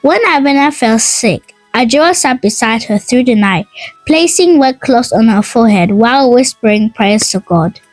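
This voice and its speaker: A woman narrating a scripted folk tale in a dramatic, expressive and measured storytelling manner. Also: a little high, no room sound, low-quality recording. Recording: dry voice over music. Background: music.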